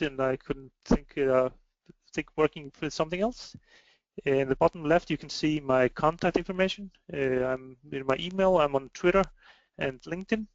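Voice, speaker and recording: A man with an Icelandic accent gives an informal webinar presentation in a hesitant, halting way. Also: a little high, no echo. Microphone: online web meeting microphone. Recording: dry, slightly muffled online call audio.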